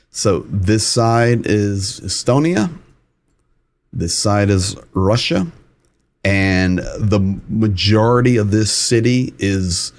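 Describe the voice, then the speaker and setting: A man hosting a live news-commentary stream, talking casually and rambling while walking viewers through a map. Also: lowish voice. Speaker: host.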